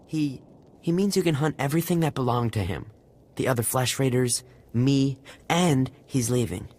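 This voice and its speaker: Man playing a halting, earnest young captive villager. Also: medium pitch, darkish tone, lightly acted. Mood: relieved, grateful. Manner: halting, pleading. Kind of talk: video game character acting. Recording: game music behind voice.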